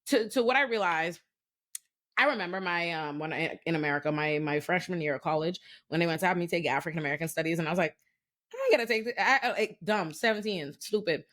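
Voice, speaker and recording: A woman in her thirties from the Bahamas, talking casually on a podcast and getting animated as she tells a story. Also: on the low side. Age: 30s. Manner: fast, animated, emphatic storytelling. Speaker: podcast host. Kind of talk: casual chat.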